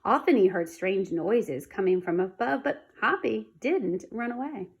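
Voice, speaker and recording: A woman reading a children's storybook aloud in an expressive, dramatic manner. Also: medium pitch, unhurried, breathy edge, lo-fi sound. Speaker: storybook reader.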